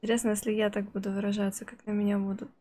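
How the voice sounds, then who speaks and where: A woman's voice: mid-range voice, level tone, racing, mellow. A woman streamer giving casual, amused live commentary on a game, musing aloud to herself. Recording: game music behind the voice.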